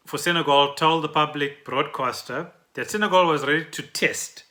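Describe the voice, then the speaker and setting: Bright, forceful South African man hosting. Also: a little high, moderate pace. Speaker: podcast host. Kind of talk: explaining trending news conversationally.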